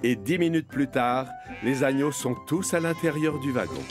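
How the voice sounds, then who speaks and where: A man's voice: medium pitch, moderate pace, music in the background. A man narrating a children's cartoon as a scripted storyteller.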